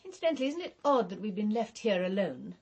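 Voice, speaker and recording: A cynical English woman in a radio play. Her husky voice swings expressively through each line. She is blunt, sharp-tongued and sardonic, with resentment underneath. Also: medium pitch, big pitch swings, fast talker. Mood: caustic, probing. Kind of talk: radio drama acting.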